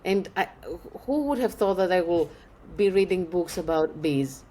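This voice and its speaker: A woman's voice: on the low side, animated, normal speed, dark tone. Female guest from Greece, English, thoughtful, word-searching. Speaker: filmmaker guest. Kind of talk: casual interview answers.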